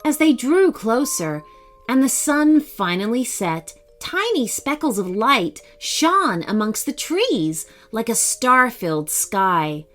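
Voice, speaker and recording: Woman storyteller, children's bedtime narration, slow pace, animated pitch, expressive, soft music underneath. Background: faint music.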